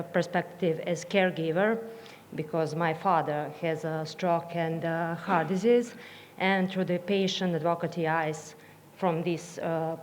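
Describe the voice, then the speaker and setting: Nervous Serbian-accented woman in an echoey hall. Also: lowish voice. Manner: nervous, halting delivery in non-native English. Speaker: patient advocate speaking at a conference. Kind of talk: conference presentation. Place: conference hall, speaking to an audience. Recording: echoey hall with clear background noise.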